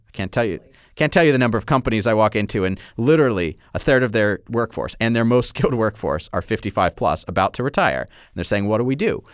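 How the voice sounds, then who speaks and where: A man's voice: fairly low pitch, clean tone, dry recording, studio quality. A man speaking emphatically on a discussion panel, stretching words for stress. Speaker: panel guest, partnership leader.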